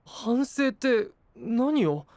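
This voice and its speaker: 仲間を気遣う気さくな青年を演じる男性声優。率直で面倒見のいい話し方。. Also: male voice, extremely high pitch, very animated, very slow, faint music behind, full character voice. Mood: thoughtful. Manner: warm-hearted, frank, caring. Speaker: voice actor. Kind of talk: anime voice drama.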